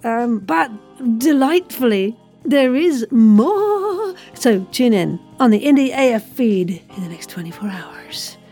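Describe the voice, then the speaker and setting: Playful woman podcast host, slightly husky. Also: fairly high pitch, very animated, slow pace, mellow, soft background music. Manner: playful, effusive. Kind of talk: chatty podcast interview.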